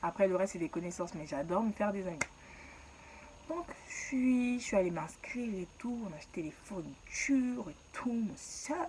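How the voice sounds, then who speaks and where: A woman's voice: medium pitch, animated, normal speed, darkish tone, a little hiss or hum, rough recording. A young woman from Ivory Coast telling her own story in a casual, slangy way and laughing at herself.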